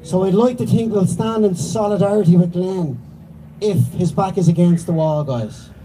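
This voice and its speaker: A man's voice: sits high, moderate pace, noisy background. A man acting as compere at a rally, introducing speakers in a loose, chatty way. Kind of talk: casual announcing.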